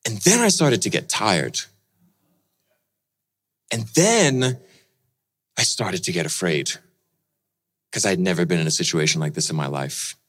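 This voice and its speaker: A man preaching as he tells a dramatic personal story, swinging between lively and hushed delivery. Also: low voice, a little slow, pauses often. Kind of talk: sermon storytelling.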